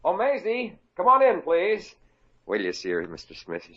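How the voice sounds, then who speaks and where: Bumbling eager young guy, stammering, animated pitch, dull old radio sound. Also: moderate pace, full character voice. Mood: flustered. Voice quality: stammering, goofy. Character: eager bumbling young male salesman boyfriend. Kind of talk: 1950s radio comedy acting. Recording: muffled old radio broadcast.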